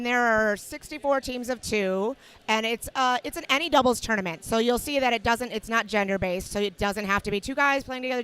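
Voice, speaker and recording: A woman with a slightly hoarse voice calls a match for a livestream. She explains strategy in a relaxed, easygoing way, pausing on fillers as she goes. Her voice sits at an ordinary middle pitch. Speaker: match commentator. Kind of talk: casual sports commentary.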